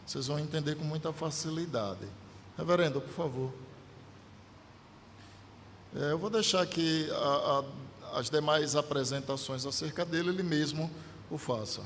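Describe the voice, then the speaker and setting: A man's voice: fairly high pitch, moderate pace, pauses often, fairly bright tone. Man introducing a guest preacher. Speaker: local pastor introducing the guest. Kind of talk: church announcement. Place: church hall. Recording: reverberant church hall with faint ambient noise.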